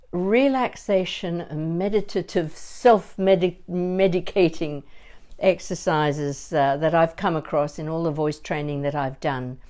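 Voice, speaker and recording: An older woman giving a conversational demonstration as a voice coach, deliberate and emphatic, pausing between short phrases. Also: fairly low pitch, darkish tone.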